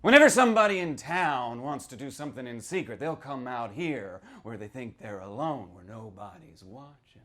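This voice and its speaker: A man's voice: medium pitch, expressive, moderate pace, hardly any pauses, fairly bright tone, close to an everyday voice. A man reading horror fiction aloud as a boozy old small-town storyteller, cagey and conspiratorial, prodding the listener and repeating himself. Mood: ominous, conspiratorial. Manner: theatrical, conspiratorial, repeats phrases, prods listener with "huh" and "hmm". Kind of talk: dramatic first-person horror reading.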